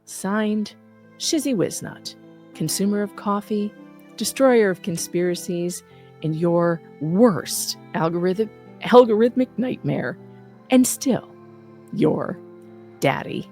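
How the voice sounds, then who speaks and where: A woman delivers a scripted takedown with theatrical sarcasm and open mockery. Her pitch sits in a medium range for a female voice, and faint music plays underneath her reading. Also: animated, slow pace, a little mellow. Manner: often laughs while reading. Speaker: host reading an open letter. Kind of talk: sarcastic scripted commentary read aloud.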